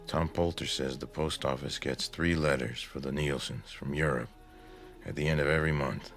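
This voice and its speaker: A blunt small-town husband in old-time radio style. His voice is extremely deep, his manner stern and insistent. Music plays faintly underneath. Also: steady tone, normal speed, fairly bright tone. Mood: matter-of-fact.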